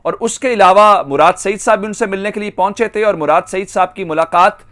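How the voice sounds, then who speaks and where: A man's voice: sits high, a little fast, a bit bright. A man with a Pakistani accent delivering a political news monologue as an online channel host, stressing and drawing out key phrases.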